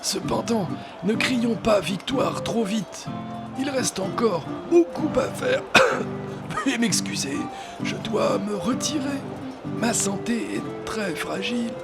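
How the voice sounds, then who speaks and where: A man's voice: high voice, lively intonation, heavily acted. An actor playing a frail, ailing old king in a fantasy audio drama, speaking formally with a cough. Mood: cautious, weary. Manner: slow, formal, interrupted by coughing. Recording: voice under loud music.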